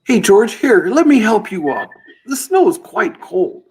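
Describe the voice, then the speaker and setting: A man playing a gentle, earnest guardian angel who patiently explains things, in old-time radio play style. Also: high-pitched, big pitch swings, a little slow, soft background music.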